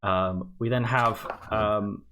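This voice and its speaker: Male stream host, young adult, fairly low pitch, informal and halting, making unscripted announcements and chat. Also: fairly even intonation, crowd noise. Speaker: charity livestream host. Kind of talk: casual livestream announcements and chat. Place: indoor home streaming setup.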